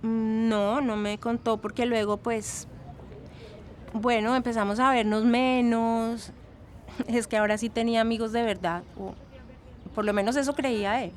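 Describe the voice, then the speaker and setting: An actress playing a chatty former student with a Latin American Spanish accent, giving gossipy, fondly exasperated testimony in an audio drama. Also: female voice, a little high, steady tone, a little slow, natural voice. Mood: wistful resentment. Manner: gossipy, emphatic, sprinkles in English words.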